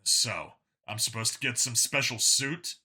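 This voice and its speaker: A man's voice: medium pitch, animated, measured pace, bright, forceful tone, husky, exaggerated voice. A man voicing a blunt, sardonic human policeman stranded among aliens in a science fiction audiobook, insistent and plain-spoken. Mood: wary, irritated.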